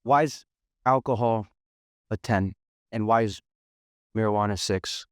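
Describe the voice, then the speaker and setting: A man hosting a podcast interview, putting brisk, direct questions to his guest. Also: lowish voice, clear, resonant tone, no room sound, clean studio sound. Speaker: podcast host.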